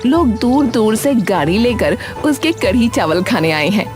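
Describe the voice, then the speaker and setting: A woman's voice: a little high, very animated, measured pace, music in the background. A woman delivering scripted narration for a cartoon story.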